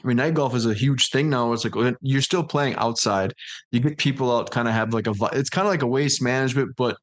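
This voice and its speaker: A man's voice: medium pitch, quick pace. A man in his mid-thirties hosting a podcast, easygoing in conversation and steering the talk with questions. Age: 30s. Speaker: podcast host. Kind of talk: casual chat.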